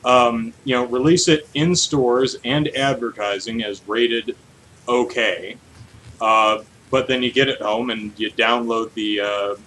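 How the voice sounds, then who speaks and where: A man's voice: medium pitch, fairly even intonation, measured pace, a bit bright, slight background noise, poor audio. A man co-hosting a podcast, chatting casually about the news and thinking aloud in an informal way. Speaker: podcast co-host. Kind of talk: casual discussion of video game news.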